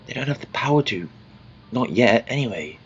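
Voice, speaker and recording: Guy acting a flustered alien time traveler, impatient, pitch jumps around, lo-fi audio drama. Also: big pitch swings, creaky, husky, faint music behind, full character voice. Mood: distracted, evasive. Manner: flustered, blunt, halting. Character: eccentric, impatient male alien time traveler, youthful-looking.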